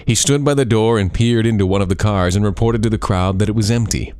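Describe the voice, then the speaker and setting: Very deep-voiced man telling a scary story. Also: a little mellow, clear, resonant tone, no room sound. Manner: suspenseful, deliberate dramatic phrasing. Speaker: storyteller reading own story. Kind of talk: dramatic scripted scary-story narration.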